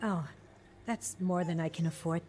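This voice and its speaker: A woman's voice: on the low side, fairly even intonation, moderate pace, a little mellow, a little hiss or hum, lightly acted. An actress narrating and playing a troubled young mother in a radio drama, close to tears and emotional. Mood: upset. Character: troubled young mother leaving her husband. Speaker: narrator and lead character.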